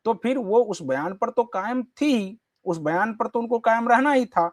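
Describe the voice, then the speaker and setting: A man's voice: extremely high pitch, animated, normal speed, no echo, studio quality. A man hosting a news discussion, giving commentary and putting questions emphatically, repeating phrases for stress. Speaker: news show host.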